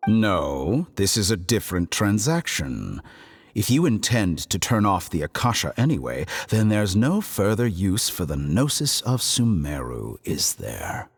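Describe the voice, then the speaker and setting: Arrogant scheming game harbinger, low gravelly voice, slow pace, mock-courteous, deliberate. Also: male voice, big pitch swings, heavily acted. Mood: sly, bargaining. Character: scheming, arrogant, unhurried man, a foreign harbinger and scholar. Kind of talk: video game voice acting, theatrical dialogue.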